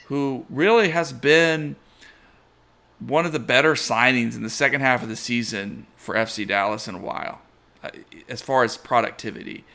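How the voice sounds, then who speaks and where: A man co-hosting a casual soccer podcast, weighing points in a measured, analytical way. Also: medium pitch, creaky, pushed and bright. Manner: measured, analytical, reads listener questions. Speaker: podcast co-host. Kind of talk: casual sports chat.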